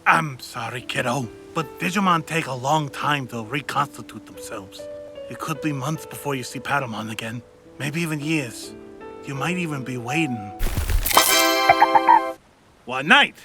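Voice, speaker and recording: A man's voice: medium pitch, measured pace, over loud music, lightly acted. A man voicing a whimsical, teasing older boy in a cartoon parody, wry and playful. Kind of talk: fan-dub parody cartoon acting.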